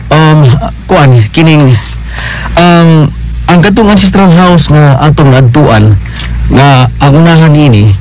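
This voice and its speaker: A man voices a young man anxious about his missing sister. He talks in a slightly raised voice, hesitant and full of pauses, in radio-drama fashion. Clear background noise sits under his speech. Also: on the high side, a little mellow, rough recording. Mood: worried. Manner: hesitant, filler-heavy.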